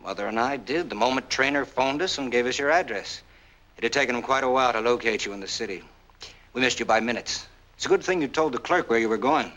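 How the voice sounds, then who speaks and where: Man playing smooth, bright-voiced 1940s film noir husband. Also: medium pitch, lightly acted, rough recording. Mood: coolly confident. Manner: measured, polite, controlled. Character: smooth, self-assured war-veteran husband, calmly explaining. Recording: old 1940s film soundtrack.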